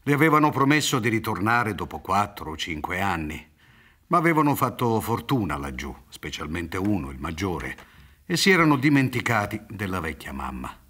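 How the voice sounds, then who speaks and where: A man's voice: fairly low pitch, sing-song, normal speed, fairly bright tone, husky. A middle-aged man reading scripted literary narration for a radio drama. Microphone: studio microphone, seated on a theatre stage.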